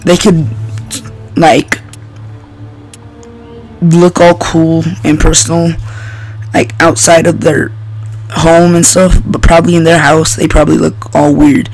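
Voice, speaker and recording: A man's voice: fairly high pitch, flat delivery, slow pace, long pauses, rough recording. A young man telling a casual, profane story in a rambling, slangy way while playing a game. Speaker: gaming video host. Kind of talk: casual profane story time over gameplay. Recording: loud music and gunfire behind. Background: music and shooter game gunfire.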